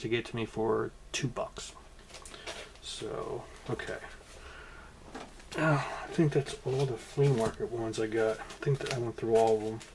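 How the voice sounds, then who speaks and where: A man's voice: mid-range voice, darkish tone, a little hiss or hum. A man casually talking to camera about his comic book haul, rambling with many ums and restarts, in a room at home. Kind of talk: casual vlog talk to camera.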